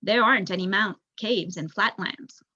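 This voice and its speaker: Muffled lo-fi sound, young woman, storybook narration, bright voice, slightly raspy, expressive reader. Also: mid-range voice, normal speed, dry recording. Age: young adult. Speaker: volunteer audiobook reader. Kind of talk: storybook narration read aloud.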